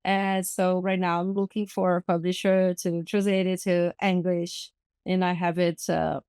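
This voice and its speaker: Brazilian woman on a podcast, kinda low smooth voice, dry sound, lots of ums. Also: flat delivery, a little slow, a little mellow, no echo. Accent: Brazilian Portuguese. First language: Portuguese. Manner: thoughtful, searching for words, many fillers. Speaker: guest artist and activist. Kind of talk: podcast interview.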